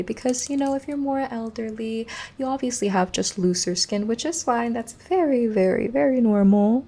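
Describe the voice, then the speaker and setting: A woman playing a gently unsettling inhuman examiner in an ASMR horror roleplay, softly instructing and reassuring, drifting into whispers close to the microphone. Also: mid-range voice, a little hiss or hum, close to an everyday voice. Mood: soothing, clinical. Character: gently unsettling female entity examiner preparing a human.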